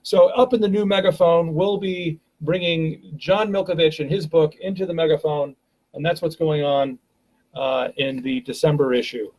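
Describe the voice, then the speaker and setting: A man's voice: fairly high pitch, steady tone, moderate pace, a little mellow, rough recording. A man hosting a live stream, talking casually and rambling with many fillers and restarts, from a home webcam.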